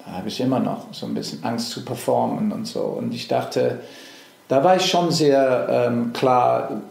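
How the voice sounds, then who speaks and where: A middle-aged man from England whose native tongue is English talks in a relaxed, hesitant way, full of fillers and restarts. His voice has a gravelly, fry-edged texture, and the room around him rings with echo. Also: medium pitch, unhurried. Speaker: actor, podcast guest. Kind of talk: casual interview chat.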